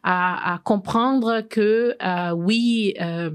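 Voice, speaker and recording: A middle-aged woman from Benin, president of a chamber of commerce, answering interview questions in a halting way with many fillers and restarts. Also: mid-range voice, smooth, no echo.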